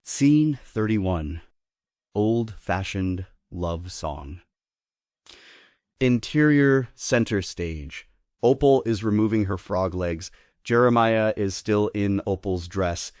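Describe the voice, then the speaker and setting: Deep-voiced man reading stage directions. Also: lively intonation, slow, a little mellow, no echo, studio quality. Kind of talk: scripted narration.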